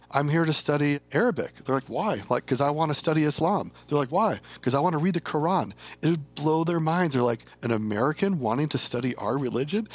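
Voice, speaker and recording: A mid-pitched man from Minnesota chats over a video call and tells stories with easy laughs. His speech carries no regional accent. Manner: chatty storyteller, laughs often. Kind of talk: casual conversation.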